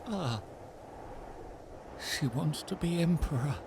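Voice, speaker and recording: A man's voice: on the high side, expressive, takes it slowly, noisy background. An actor playing a meek, reluctant, henpecked fisherman in a fairy tale audio drama, hesitant and pleading. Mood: weary, resigned.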